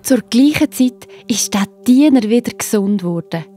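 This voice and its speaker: Female children's storyteller: Swiss German accent, soft dark tone, encouraging direct address, light music bed. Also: on the high side, expressive, normal speed. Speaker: narrator.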